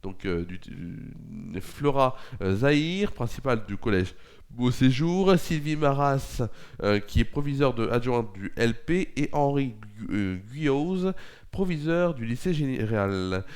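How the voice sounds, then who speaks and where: A man's voice: medium pitch, expressive, a little slow, a little mellow, clear, resonant tone. A young man presenting a local news and weather bulletin with a stuffy nose from a cold, often hesitating and correcting himself. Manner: hesitant, frequent fillers and self-corrections.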